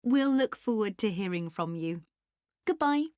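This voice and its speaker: A woman's voice: medium pitch, lively intonation, a little slow, a little mellow, no room sound, natural voice. Courteous woman booking clerk, dry close sound, acted. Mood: polite, helpful. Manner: polite, clear explaining. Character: courteous female booking clerk explaining charges.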